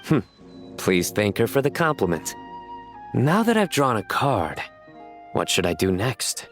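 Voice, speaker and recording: A man acting an earnest, deadpan official who takes his hobby seriously, in a video game. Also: mid-range voice, measured pace, faint music behind. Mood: solemn. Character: earnest deadpan male official, avid card game fan.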